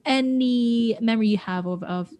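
A woman's voice: fairly high pitch, moderate pace, a little mellow. A young woman co-hosting a chatty podcast, talking in a rambling way with frequent ums and restarts. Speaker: podcast co-host. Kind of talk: casual chat.

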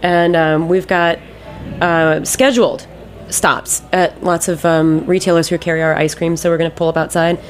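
A woman's voice: fairly low pitch, steady tone, moderate pace. A woman chatting casually as a radio guest, telling stories in colloquial phrasing. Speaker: radio guest, ice cream maker. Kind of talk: casual chat.

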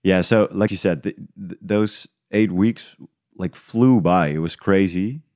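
A man from the Netherlands, talking casually in an interview, halting as he thinks aloud. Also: deep voice, darkish tone, dry recording, clean studio sound. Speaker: guest, independent podcast creator.

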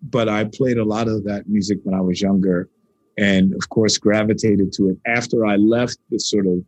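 A man from New York speaks with a slightly husky voice, recorded close and dry. He is a guitarist being interviewed, and he tells animated, rambling stories full of restarts. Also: lowish voice, normal speed, no room sound, low-quality recording. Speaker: guitarist and composer. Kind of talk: casual interview conversation.

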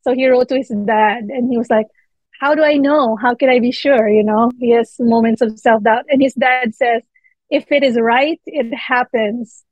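A woman's voice: fairly high pitch, fairly even intonation, normal speed, no room sound. A woman from the Philippines, a book editor, talking thoughtfully as a guest in an interview. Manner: thoughtful, with fillers. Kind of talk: interview conversation. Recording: dull dry lo-fi sound.

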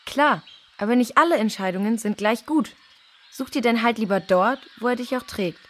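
A woman playing a kind, level-headed girl who reasons calmly and earnestly in a children's audio drama. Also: a little high, moderate pace. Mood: firm, advising.

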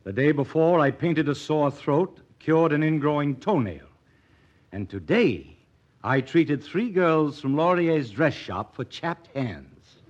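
A proud, earnest young doctor in a 1940s-style radio play. His voice sits a little high, articulate and theatrically impassioned. The sound is that of a dull old broadcast. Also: lively intonation, slow. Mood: bitter, weary.

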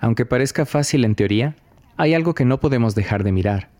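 A man hosting a podcast, reading scripted documentary narration in a measured, reflective way. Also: medium pitch, animated, darkish tone.

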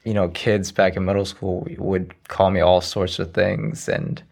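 Soft-spoken young man with a gravelly low voice. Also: flat delivery, unhurried. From Iraq. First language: Arabic. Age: around 20. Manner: soft-spoken, hesitant, frequent "you know" fillers. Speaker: interview guest, young poet. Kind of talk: casual conversational interview.

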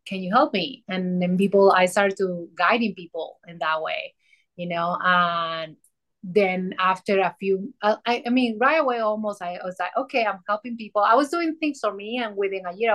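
A woman with a Peruvian Spanish accent speaks as a podcast guest. She tells her story at an easy, unhurried pace, with frequent fillers and small restarts. Her pitch sits in a normal middle range. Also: a bit bright. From Peru. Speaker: guest, soul alignment mentor. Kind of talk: casual podcast conversation.

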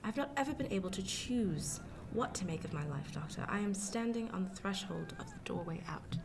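A woman's voice: medium pitch, animated, fairly quick. An actress playing a defiant, bitter eighteen-year-old well-born woman in a period radio drama, speaking formally and emphatically. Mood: bitter, yearning. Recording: clearly noisy, ambient sound behind.

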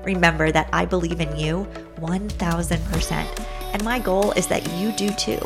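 A middle-aged woman hosting a podcast, upbeat and emphatic, rattling off lists in quick bursts. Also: on the low side, steady tone, over music. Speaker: podcast host. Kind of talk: scripted intro then interview.

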